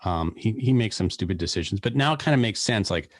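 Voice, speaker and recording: A man co-hosting a podcast, chatting in a rambling, animated way with many restarts. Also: on the low side, quick pace, dry recording, clean studio sound. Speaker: podcast co-host. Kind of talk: casual podcast chat.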